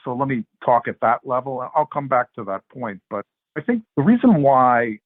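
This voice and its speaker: A man speaking as a podcast guest, explaining financial markets in a measured, conversational way, in short phrases. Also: medium pitch, animated, no echo. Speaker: interview guest, macro research strategist and investment adviser. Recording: narrow phone-like sound, dry.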